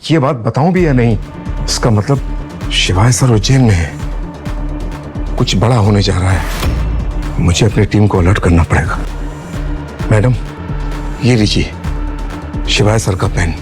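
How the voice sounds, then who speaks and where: An actor playing a shaken, agitated older ex-army uncle in an audio drama, halting and repeating his words. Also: lowish voice, music in the background, lightly acted. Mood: stunned, grave. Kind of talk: Hindi audio drama acting.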